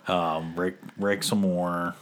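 Male co-host, deep-voiced and chatty, laughs a lot. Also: little pitch movement, a little hiss or hum. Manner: rambling, laughs often, drawn-out fillers. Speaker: podcast co-host. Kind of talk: casual chat.

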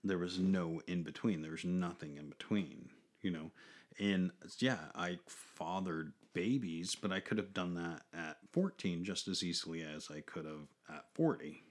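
Deep-voiced man in casual chat. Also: a little slow. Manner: rambling, full of "you know" and "like".

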